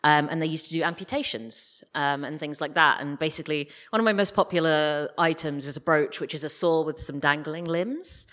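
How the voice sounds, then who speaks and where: A woman's voice: low-pitched, brisk, hardly any pauses, a bit bright. A woman guest in a casual live stage interview, talking in a hesitant, wry way. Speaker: interview guest, audio drama writer. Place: live stage at a podcast festival.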